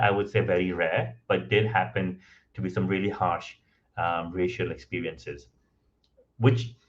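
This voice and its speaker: A man speaking English as a second language, guesting on a podcast and telling his story in a relaxed, conversational way, with many ums and "right?" tags. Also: sits low, muffled recording. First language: not English. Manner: frequent ums and restarts, tag questions of "right?".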